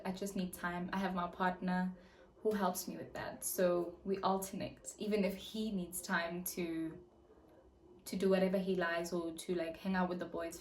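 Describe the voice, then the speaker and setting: A woman's voice: mid-range voice, a little mellow, echoey room, a little hiss or hum. A young woman from South Africa answering viewer questions in a casual vlog, chatty, reading each question aloud and answering with lots of fillers. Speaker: YouTuber.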